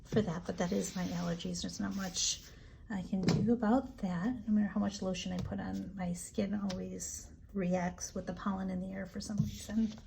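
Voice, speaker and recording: A woman with a raspy, allergy-hoarse voice chatting casually as she decorates her planner, relaxed and full of ums. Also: mid-range voice, noisy background. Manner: slow, relaxed, chatty with frequent ums and asides. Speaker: planner vlogger. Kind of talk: casual plan-with-me narration.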